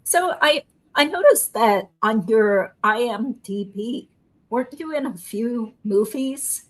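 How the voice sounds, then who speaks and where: A woman's voice: on the high side, animated, takes it slowly, low-quality recording. A woman lawyer hosting a chat, prompting her guest with curious questions. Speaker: lawyer and show host. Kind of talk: casual chat.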